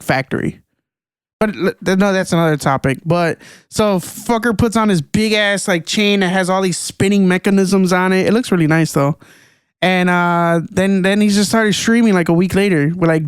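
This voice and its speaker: A young man co-hosting a casual podcast chat, excitable and slangy, calling people "bro" a lot. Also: high-pitched, clear, resonant tone, dry recording, studio quality. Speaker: podcast co-host.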